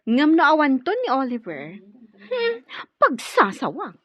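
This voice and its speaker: A woman's voice: high voice, wildly swooping pitch, measured pace, dull audio, exaggerated voice. An actress playing a two-faced young girlfriend in a radio drama, coy and honeyed with a calculating streak. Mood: sly, confident. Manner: coy and honeyed, turning sly.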